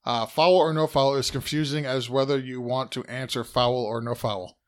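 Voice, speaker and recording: A man co-hosting a podcast, loud by his own account, reading out listener questions and arguing back. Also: medium pitch, steady tone, normal speed. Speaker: podcast co-host. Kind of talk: casual podcast banter.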